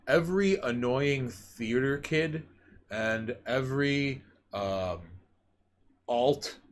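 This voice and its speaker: A man's voice: medium pitch, a little hiss or hum. A man co-hosting a casual podcast, ranting with plenty of swearing and reading viewer names from a live chat. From New York. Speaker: podcast co-host.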